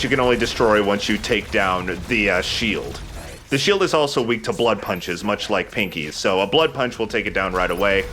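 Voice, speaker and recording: A man's voice: on the low side, a bit bright. A man hosting a let's play, explaining the game casually with playful, jokey slang. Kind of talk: casual gameplay commentary and walkthrough.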